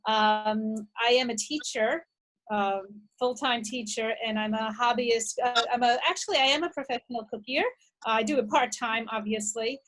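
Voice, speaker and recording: High-voiced enthusiastic woman from New York. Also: moderate pace, a bit bright, rough recording. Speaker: teacher and part-time cookie seller. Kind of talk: casual video-call chat. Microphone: video call.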